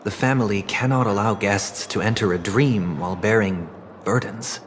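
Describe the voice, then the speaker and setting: A man voicing a composed, authoritative young leader in a video game, speaking in a formal, measured way. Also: fairly low pitch, slight background noise, close to an everyday voice.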